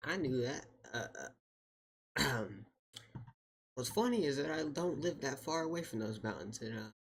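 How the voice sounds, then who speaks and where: A man hosting a livestream, chatting casually with viewers in a playfully defensive, bantering manner with a slightly husky voice. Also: mid-range voice, expressive, a little slow. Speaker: livestream host.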